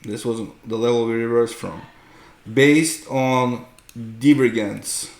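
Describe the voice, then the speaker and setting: Slow, gravelly man explaining charts. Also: medium pitch. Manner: explanatory, often checks with "right?". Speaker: host, market analyst. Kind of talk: unscripted chart tutorial commentary. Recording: roomy, echoing room sound.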